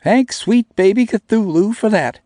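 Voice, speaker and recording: A man's voice: high voice, animated, a little slow, dark tone, no room sound, clean studio sound, pitched above the performer's own voice. A man voicing a snarky young woman scientist who crudely teases over an intercom. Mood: mocking. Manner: mocking, crude teasing.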